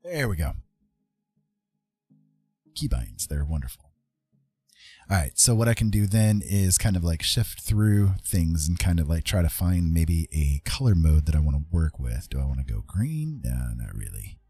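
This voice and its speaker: A man chatting casually on an art livestream, thinking aloud in a rambling, halting way as he works. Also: low voice, sing-song, dark tone, breathy edge. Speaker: livestream host, digital artist. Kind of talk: casual chat while drawing on a livestream. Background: background music.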